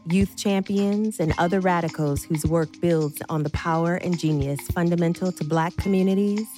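An American woman reads a scripted podcast introduction as its host. Her voice is a little low, and music plays clearly behind her. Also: normal speed, mellow. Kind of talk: scripted trailer narration.

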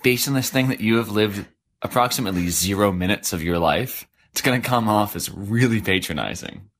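A man's voice: on the low side, a little fast, a bit bright. A man speaking informally and bluntly, emphatic and scolding in tone. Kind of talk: informal argument at home.

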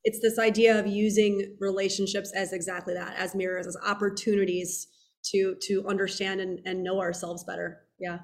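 A woman's voice: medium pitch, flat delivery, normal speed. A woman with a froggy, nasal voice who co-hosts the show, responding to callers and reading her own writing aloud. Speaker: podcast co-host leading a group call. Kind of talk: casual group discussion and reading aloud.

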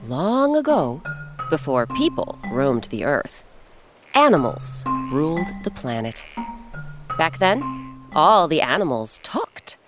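A woman hosting and narrating a scripted children's story with expressive, emphatic delivery and dramatic pauses. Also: sits low. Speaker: host and narrator. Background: music.

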